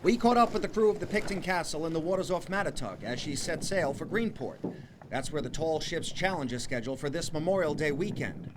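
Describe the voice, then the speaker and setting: A man's voice: fairly high pitch, animated, fairly quick, background noise. A man reporting broadcast news as a television reporter, with an emphatic, punchy delivery. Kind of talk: broadcast news reporting, live stand-ups and scripted packages.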